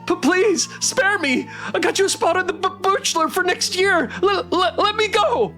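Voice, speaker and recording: Shrill, terrified, stammering producer. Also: male voice, expressive, moderate pace, bright, forceful tone, faint music behind, full character voice. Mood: panicked, pleading. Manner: stammering, pleading. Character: terrified male TV producer pleading for his life.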